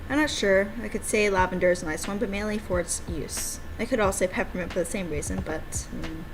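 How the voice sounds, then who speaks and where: A woman voicing a courteous, wistful male visual-novel character in a formal, measured, hesitant way. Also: mid-range voice, background noise, natural voice. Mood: wistful, pondering.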